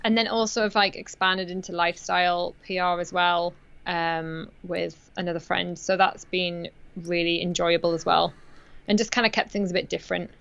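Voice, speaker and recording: A woman's voice: on the low side, steady tone, normal speed, creaky, a bit bright, smooth. A woman in her thirties talking in a friendly, casual way as an interview guest over a video call, with lots of fillers. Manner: friendly, laughs easily, many fillers like "like" and "obviously". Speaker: music PR guest. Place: home room. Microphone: headset, video call. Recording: dull lo-fi video call audio.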